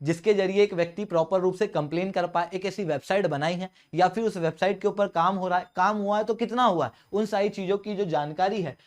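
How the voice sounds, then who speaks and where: Indian teacher doing an exam prep lecture, medium pitch, explains step by step, asks and answers own questions, drops english terms in. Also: fairly quick, few pauses. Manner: didactic, poses questions then answers them; mixes in many English terms.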